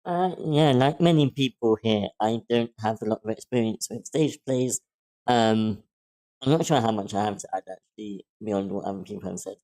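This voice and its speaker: Low, mellow, hesitant man. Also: moderate pace, low-quality recording. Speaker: table read participant giving feedback. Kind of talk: casual spoken feedback. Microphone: online meeting call.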